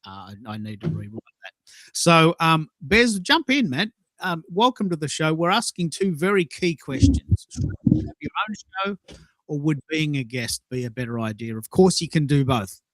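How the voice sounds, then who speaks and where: A man's voice: fairly high pitch, lively intonation, moderate pace, fairly bright tone, no echo, poor audio. A man in his sixties hosting a conversational round-robin talk show, putting questions to guests. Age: 60s. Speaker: show host.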